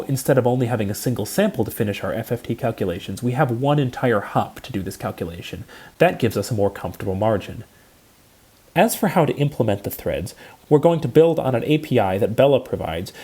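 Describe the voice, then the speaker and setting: A man giving a scripted technical lecture, explaining in a measured and precise way. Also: mid-range voice, expressive, dark tone, slightly rough.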